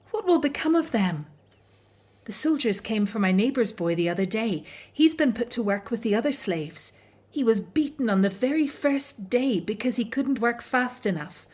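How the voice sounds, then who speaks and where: A woman's voice: fairly high pitch, darkish tone, slightly rough. An actress in a radio drama playing a fearful, anguished young Hebrew mother, grave and despairing about life under slavery.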